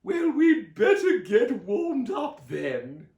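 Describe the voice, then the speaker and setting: A man voicing a gleeful, bossy aunt with loud, drawn-out theatrical exclamations for a children's storybook. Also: very high voice, huge pitch swings, roomy sound, highs cut off, pitched above the performer's own voice, heavily acted.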